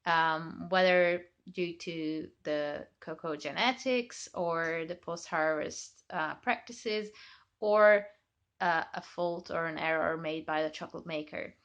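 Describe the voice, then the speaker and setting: A woman's voice: on the low side, a bit bright. A woman whose first language is Hungarian, a chocolate maker giving an informal explainer, thinking aloud with many fillers and self-corrections.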